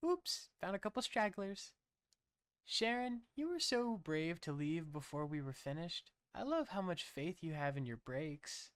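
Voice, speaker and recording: A man's voice: a little high, animated, unhurried, clear, resonant tone, no echo, clean studio sound, voice pushed up. A man voicing a perky woman coworker in a horror story, sing-song and falsely sweet with a menacing edge. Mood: cheerfully menacing.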